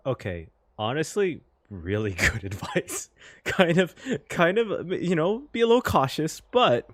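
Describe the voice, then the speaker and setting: A man's voice: on the high side, very animated, a little slow, no room sound. A man giving casual, effusive game reaction commentary as host, emotive and repeating phrases for emphasis.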